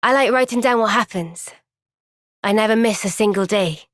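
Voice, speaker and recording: A woman playing an earnest, thoughtful young soldier in a fantasy video game cutscene, speaking in a gentle, measured, reflective way. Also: medium pitch, dry recording, studio quality, natural voice. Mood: gentle, reassuring.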